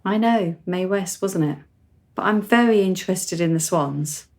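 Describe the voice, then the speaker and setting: A woman's voice: fairly low pitch, unhurried, darkish tone. An actress playing a precocious, self-assured teenage girl in a radio play, composed, articulate and matter-of-fact. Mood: probing, cool.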